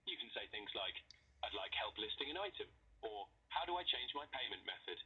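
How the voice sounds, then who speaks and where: A man's voice: on the low side, big pitch swings, brisk, bright, forceful tone. A man's voice reading scripted automated phone menu prompts over a phone line.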